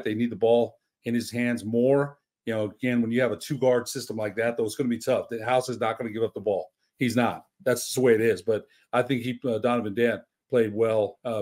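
A man's voice: medium pitch, darkish tone. Middle-aged man giving sports commentary. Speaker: sports podcast panelist. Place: home room, indoors.

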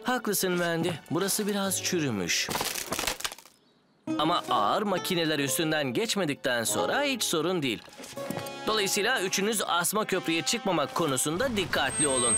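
A man's voice: fairly high pitch, big pitch swings, normal speed. An actor playing an upbeat male builder who leads his crew in a children's cartoon, with an encouraging, instructive delivery. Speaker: team leader. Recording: music behind the voice.

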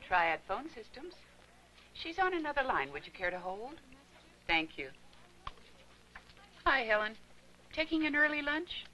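A middle-aged actress playing a courteous, instructive receptionist in a scripted 1980s training film. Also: female voice, high-pitched, sing-song, unhurried, pauses often, bright, forceful tone, slight background noise, full character voice, low-quality recording. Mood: polite, friendly. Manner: polite, clearly instructive. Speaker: receptionist and narrator. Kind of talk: scripted training-film narration and acted dialogue. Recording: 1980s film soundtrack, faint music.

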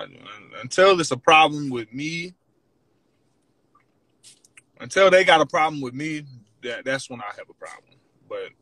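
A man's voice: medium pitch, sharp tone, dry recording, low-quality recording. A young man hosting a phone livestream from his car, laid-back and slangy. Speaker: livestream host. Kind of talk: casual chat. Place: parked car.

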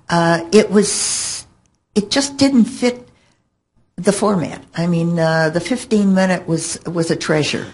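Older woman with a husky, slow voice. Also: deep voice, a little mellow. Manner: halting, thinking aloud. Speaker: panelist and longtime fan. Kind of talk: question-and-answer panel talk. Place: hotel conference room. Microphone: table microphone on stand.

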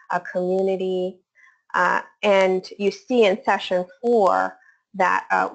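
A woman's voice: mid-range voice, gravelly. A woman researcher giving a webinar presentation, hesitant, with many fillers and restarts. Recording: dull lo-fi webinar audio.

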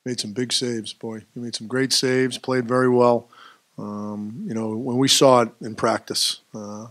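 A middle-aged man as head coach at a postgame press conference, speaking at a table microphone in a press room, measured and dropping off at phrase ends, with many fillers. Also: medium pitch, flat delivery, fairly bright tone, no room sound. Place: press room with sponsor backdrop.

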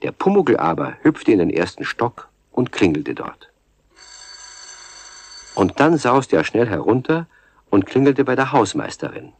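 A man's voice: mid-range voice, big pitch swings, unhurried, creaky, long pauses, no echo, full character voice. A man narrating a children's radio play as a storyteller, in a scripted storybook style. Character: male storyteller of a children's tale.

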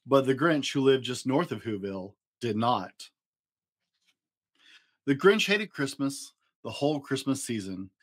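Male storyteller, forties, mid-pitched and very animated, playful dramatic emphasis for young listeners. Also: a little slow. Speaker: dad storyteller, host. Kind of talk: expressive children's storybook reading. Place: small indoor home studio room.

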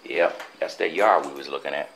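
A man, filming a walking-tour vlog through an abandoned building, talking indignantly and dropping to hushed asides. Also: extremely low pitch, moderate pace, gravelly, a little hiss or hum, low-quality recording. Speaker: street vlogger. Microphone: handheld camera.

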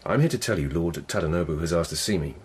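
Very deep-voiced blunt lieutenant. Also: male voice, quick pace, breathy edge. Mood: wry, confiding. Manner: blunt, dryly wry. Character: blunt, defiant, wry male palace lieutenant of humble birth. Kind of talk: radio drama acting.

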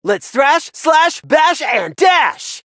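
An actor voicing a brash heavy-metal dragon-riding knight in a video game, with a bombastic, theatrical delivery and drawn-out battle cries. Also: high voice, big pitch swings, pushed and bright, no echo, clean studio sound, exaggerated voice. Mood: fierce, fired up.

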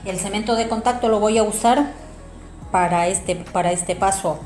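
A woman's voice: mid-range voice, normal speed, darkish tone. Woman explaining a craft in a roomy space. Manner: explanatory, addressing viewers directly. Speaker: craft tutorial presenter. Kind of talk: informal hands-on tutorial narration. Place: small domestic room indoors. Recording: roomy echo, music behind.

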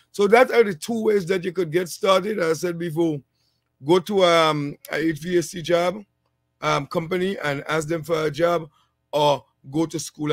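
A man in his thirties, a working technician from a small island, giving relaxed, rambling career advice on his channel with plenty of ums, seated indoors with a headset mic. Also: on the high side, no echo. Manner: relaxed, rambling, many fillers. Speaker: channel host, HVAC technician. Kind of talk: casual advice vlog.

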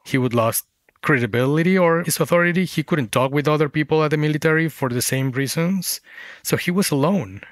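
A man's voice: a little high, a little fast, dry recording, clean studio sound. A man, a Spanish speaker, telling stories reflectively as an interview guest. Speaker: guest author, former journalist.

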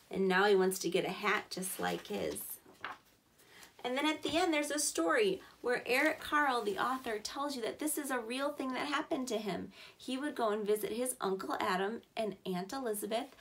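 Woman, thirties, higher-pitched voice, children's read-aloud, simple encouraging teacher talk, small indoor room. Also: moderate pace. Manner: clear, simple, encouraging address to young children. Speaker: preschool teacher reading a picture book aloud. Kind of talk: children's story read-aloud with explanatory asides.

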